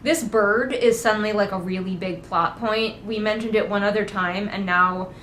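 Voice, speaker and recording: A woman's voice: mid-range voice, normal speed, a bit bright, echoey room, slight background noise. A woman giving casual, comedic book commentary as a host, in a sarcastic, sweary, conversational manner. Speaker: book critic and host of a readalong.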